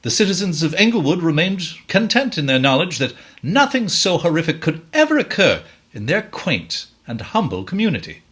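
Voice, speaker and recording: Man as a animated, theatrical dark storyteller. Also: on the high side, normal speed, few pauses. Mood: ominous, grand. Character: theatrical male storyteller of a dark true-crime tale. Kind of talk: scripted dramatic narration.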